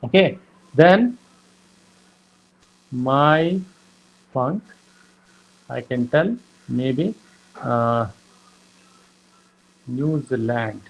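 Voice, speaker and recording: A man teaching programming, explaining slowly with many fillers and repeated "okay". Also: medium pitch, expressive, gravelly, highs cut off. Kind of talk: lecture explaining code.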